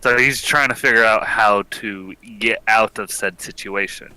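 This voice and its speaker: A man's voice: on the low side, normal speed, sharp tone, clean tone, no echo, poor audio. A man taking part in a casual group discussion.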